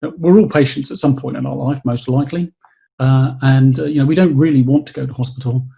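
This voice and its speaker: Gravelly, husky man pitching his company. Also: steady tone, warm tone. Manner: measured, with frequent hesitations and repeats. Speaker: company executive. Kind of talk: webcast pitch.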